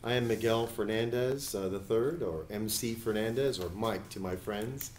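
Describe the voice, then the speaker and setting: A man in his forties from New Jersey, answering questions as an attorney and judicial candidate in an editorial board interview, speaking in a measured way with frequent hesitations. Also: on the low side, a little mellow, echoey room, a little hiss or hum. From New Jersey, USA. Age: 40s.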